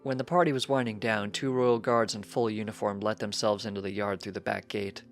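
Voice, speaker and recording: A man's voice: fairly low pitch, flat delivery, brisk. Male storybook narrator over music. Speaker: fan fiction reader.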